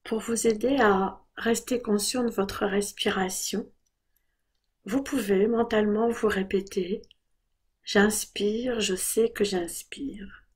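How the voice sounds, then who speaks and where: A woman leading a guided body scan meditation in a soft, breathy voice, giving calm, gentle, unhurried instructions. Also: mid-range voice.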